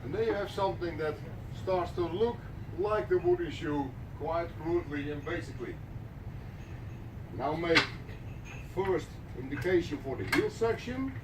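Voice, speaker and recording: A man's voice: on the high side, expressive, moderate pace, roomy sound, very noisy. A middle-aged man from the Netherlands with a Dutch accent, a clog maker joking and chuckling his way through a live craft demonstration in an indoor workshop. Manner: jokey, chuckling between remarks.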